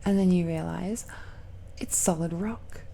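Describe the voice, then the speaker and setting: A woman voicing a tender, nervous girlfriend of dragon blood in an intimate romantic audio roleplay, speaking haltingly and softly, often dropping into whispers. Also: fairly low pitch, vocal fry. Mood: playful, affectionate. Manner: slow, halting, hushed, often sighing or lapsing into whispers. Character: tender, nervous dragon-descended girlfriend confiding in her lover.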